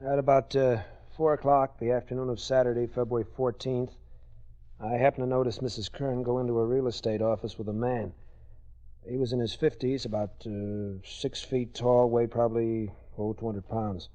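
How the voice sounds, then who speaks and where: An actor playing a careful physicist who gives halting, thoughtful witness testimony in a 1940s radio dramatization. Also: male voice, mid-range voice, flat delivery, dark tone, close to an everyday voice. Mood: careful recall. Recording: dull old radio recording.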